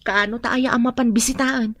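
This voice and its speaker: An actress with an Ilocano accent playing a helpful, gently chiding village wife in a radio drama. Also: female voice, a little high, steady tone, brisk, no room sound. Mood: caring.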